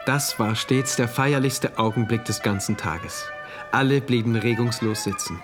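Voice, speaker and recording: An actor playing an awed, uneasy diarist, a loyal citizen of a dystopia, narrating a German radio play in a measured, literary way. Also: male voice, medium pitch, natural voice. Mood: alarmed, stunned. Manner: measured, literary, solemn recounting. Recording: loud music under the voice. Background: music.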